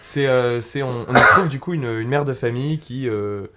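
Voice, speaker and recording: A man's voice: medium pitch, normal speed, over a noisy crowd. A man with a mid-range voice talks casually on a podcast panel. He urges listeners eagerly toward a film, with plenty of hesitant fillers along the way. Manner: enthusiastic, urging, hesitant fillers. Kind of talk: casual chat, recommending a film.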